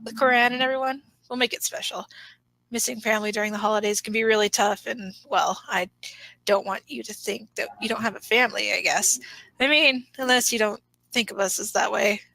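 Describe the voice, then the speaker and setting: A woman's voice: medium pitch, fairly even intonation, a little fast, gravelly, pushed and bright, no room sound, poor audio. A woman voicing an awkward, kind-hearted young man who rambles hesitantly in a fan fiction audio drama. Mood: awkward, reassuring.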